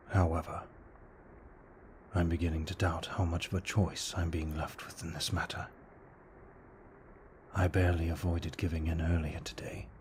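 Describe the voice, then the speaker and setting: A man playing a tormented, courteous vampire in an ASMR roleplay, speaking formally in a hushed, breathy voice that often slips into a whisper. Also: deep voice, a little hiss or hum, lightly acted. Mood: pained, restrained. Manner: formal, deliberate, brooding delivery. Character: tormented, courteous male vampire fighting his bloodlust. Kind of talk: ASMR roleplay, slow intimate monologue.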